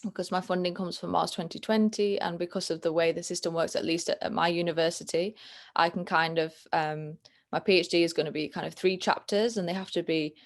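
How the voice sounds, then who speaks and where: Woman, Yorkshire accent, twenties, gravelly fry, lowish voice, hesitant, ums, video call. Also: fairly even intonation, fairly quick. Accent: Northern English, Yorkshire. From England. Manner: thoughtful, hedging with many ums and restarts. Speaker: guest, planetary science student. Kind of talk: casual interview chat.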